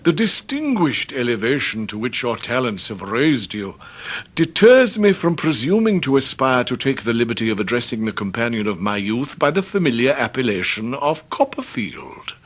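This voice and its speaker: An actor playing a pompous, theatrically downcast Victorian English debtor gentleman, grandiloquent and long-winded in a period radio drama. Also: mid-range voice, big pitch swings, moderate pace. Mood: mournful, grandiose. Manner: grandiloquent, orotund, ornate long-winded phrasing.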